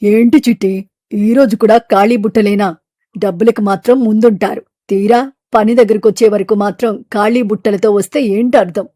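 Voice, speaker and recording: A woman plays a gruff male eagle chief from an animated storybook, blunt and domineering, with a mellow, warm tone. The sound is close and dry, with no room around it. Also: mid-range voice, fairly quick, no echo, natural voice. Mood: scolding. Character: stern male eagle trader, fruit market boss.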